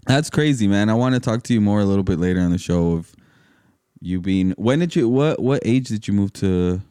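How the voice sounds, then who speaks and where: A man hosts a podcast in a low, mellow voice with a clean, smooth tone. The sound is close and dry, and he talks in casual, slangy chat. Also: expressive, brisk, no echo. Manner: informal, slangy. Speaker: podcast host.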